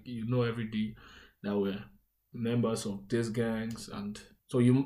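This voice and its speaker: A young man from Nigeria with a Nigerian accent, hosting a podcast and telling his own life story in an earnest, conversational way. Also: mid-range voice. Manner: earnest, conversational, warm asides and shout-outs. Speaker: podcast host. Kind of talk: personal storytelling monologue.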